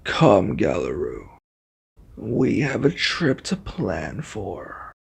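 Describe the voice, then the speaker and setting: A man voicing an arrogant, menacing reluctant ally in an ASMR roleplay, with a husky, taunting, threatening delivery. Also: on the low side, wildly swooping pitch, extremely slow, heavily acted. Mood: coldly threatening.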